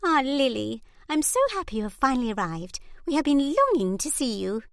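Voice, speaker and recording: A woman voicing an eager, excitable young noblewoman in an audiobook, gushing as she talks. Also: sits high, fairly quick, no room sound, clean studio sound, voice pushed up. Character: bubbly, warm young English noblewoman. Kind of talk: audiobook character voice.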